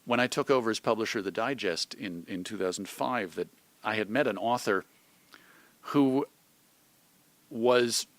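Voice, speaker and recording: A middle-aged American man talking as an interview guest in an animated, thoughtful, digressive conversational way. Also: lowish voice, moderate pace, long pauses, no room sound. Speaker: interview guest, magazine publisher and radio host.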